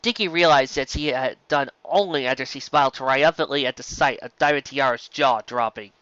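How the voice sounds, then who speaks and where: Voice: medium pitch, moderate pace, fairly bright tone, no room sound, muffled recording. A narrator reading a story aloud from a script. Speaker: audiobook reader of fan fiction.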